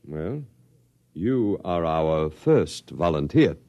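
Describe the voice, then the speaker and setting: A man playing a courteous, formal alien overseer in a 1950s radio drama, speaking deliberately and precisely. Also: sits low, sing-song, darkish tone, no echo, lo-fi sound, exaggerated voice. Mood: measured, grave. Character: courteous, measured alien overseer of a human experiment. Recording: old radio drama with faint music.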